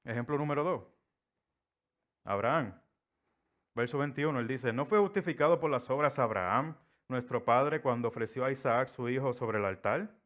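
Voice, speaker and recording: A man's voice: medium pitch, moderate pace, a bit bright. Puerto Rican man preaching a sermon. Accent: Puerto Rican Spanish. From Puerto Rico. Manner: storytelling, acts out quoted voices.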